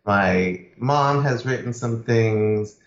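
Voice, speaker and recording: A man's voice: mid-range voice, slow pace. A man from Los Angeles, a screenwriter guest on a podcast, easygoing and repeating words as he thinks. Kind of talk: casual interview chat. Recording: echoey remote call, dull.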